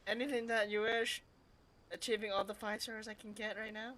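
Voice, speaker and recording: A woman's voice: fairly high pitch, level tone, fairly quick, fairly bright tone, poor audio. A woman giving casual live commentary as a game streamer.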